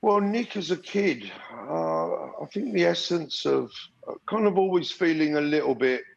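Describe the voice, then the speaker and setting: A man's voice: a little high, sing-song, moderate pace, creaky. A middle-aged man who grew up in Algeria and England, reflectively telling his story in an interview with many restarts. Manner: reflective, frequent restarts and fillers. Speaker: podcast guest. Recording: dull lo-fi sound.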